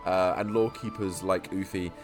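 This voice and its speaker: A man's voice: sits low, steady tone, faint music behind. Rambling, stuttering man in a lore vlog. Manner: rambling, repeats and restarts words; talks quickly. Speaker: YouTube lore creator. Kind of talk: casual game-lore vlog commentary.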